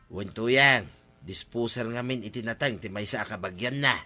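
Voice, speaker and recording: An actor playing a wheedling village husband in a radio drama, with an Ilocano accent and an emphatic, pleading delivery. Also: male voice, medium pitch, moderate pace, music in the background, lightly acted. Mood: earnest, contrite. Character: wheedling village husband, a drinker seeking credit.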